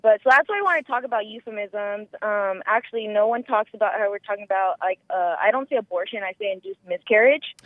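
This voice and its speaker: A woman with a squeaky voice calling in by phone, chatting casually in a rambling way full of filler words. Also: quick pace, hardly any pauses, dry recording. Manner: rambling, frequent "like" and "you know". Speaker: caller. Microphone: phone call. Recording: muffled lo-fi telephone line.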